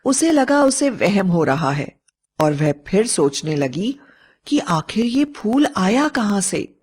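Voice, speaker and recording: A woman's voice: medium pitch, sing-song, slow, darkish tone, breathy edge. A woman narrating a scripted story as a storyteller. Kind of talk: scripted fairy-tale narration.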